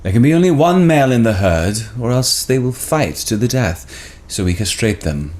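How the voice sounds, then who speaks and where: A man's voice: mid-range voice, sing-song, normal speed, a little mellow, close to an everyday voice. An actor reading a suave, crude Frenchman in a screenplay table read, with a smug, teasing delivery.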